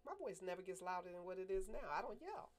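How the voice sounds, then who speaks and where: Middle-aged woman cohosting a chat show, low firm voice, talks into a desk mic. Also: big pitch swings, soft background music. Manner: firm, measured, opinionated. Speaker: talk show co-host. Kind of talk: casual group chat. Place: small studio room with a table, indoors. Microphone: desk mic on a stand.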